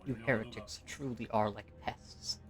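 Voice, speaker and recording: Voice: mid-range voice, steady tone, moderate pace, lightly acted, rough recording. A narrator voicing a cold, zealous inquisitor who speaks formally and sternly of heretics, as in a story game. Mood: stern, righteous.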